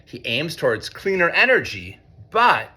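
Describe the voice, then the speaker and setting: Bright, animated American man reading aloud. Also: a little high. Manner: expressive, deliberate when reading, conversational in asides. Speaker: teacher reading a picture book to schoolchildren. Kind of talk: read-aloud storytelling with chatty asides to children.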